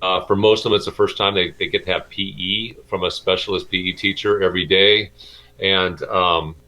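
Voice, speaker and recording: A middle-aged man, a schoolteacher, answering interview questions informally in a halting, hesitant way with many pauses. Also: deep voice, fairly even intonation, bright, forceful tone, low-quality recording. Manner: halting, hesitant delivery with frequent pauses and repeats. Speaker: middle school math and science teacher.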